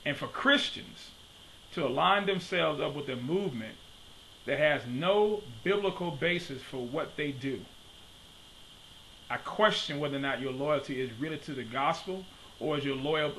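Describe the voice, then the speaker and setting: A man in his thirties from Detroit delivers a preaching-style commentary straight into a desk webcam in his home study. His voice sits a little high and rings bright and forceful. He repeats his questions for emphasis, and a faint background hiss stays under the talk. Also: normal speed, vocal fry, poor audio. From Detroit, USA.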